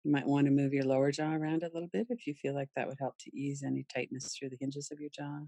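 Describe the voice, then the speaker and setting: A woman leading a guided relaxation meditation in a soothing, gentle, unhurried manner with pauses. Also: a bass voice, flat delivery, no echo. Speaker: yoga nidra meditation guide.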